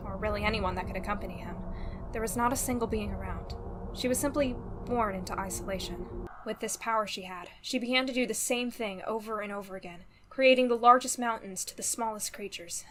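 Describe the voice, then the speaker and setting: A woman playing a patient storyteller who narrates an ancient creation myth in an animated drama. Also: mid-range voice, fairly quick, background noise, natural voice. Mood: solemn storytelling.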